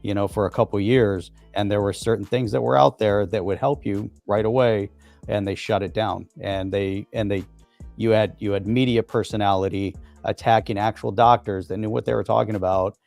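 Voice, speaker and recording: A man on a casual live stream chat, rambling quickly with lots of fillers. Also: lowish voice, fairly even intonation, vocal fry, a little mellow, dry recording, soft background music. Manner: fast rambling, many "you know" fillers.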